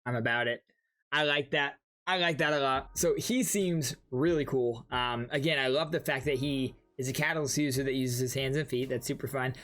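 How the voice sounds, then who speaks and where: A man's voice: medium pitch, normal speed, fairly bright tone. A young man giving informal, enthusiastic commentary as the host of a gaming reaction video.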